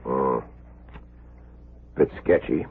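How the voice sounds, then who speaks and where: An actor playing a stern, exacting middle-aged senior surgeon, curt and dry, in a 1970s radio drama. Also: male voice, very deep voice, extremely slow, gravelly, full character voice. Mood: curt, testing. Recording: noisy, muffled old radio recording.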